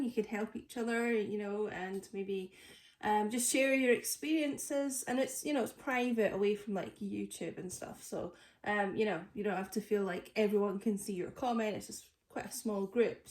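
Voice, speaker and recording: A woman with a stuffy nose from a cold, chatting casually through a tarot reading with lots of fillers. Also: fairly high pitch. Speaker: tarot reader. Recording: echoey room.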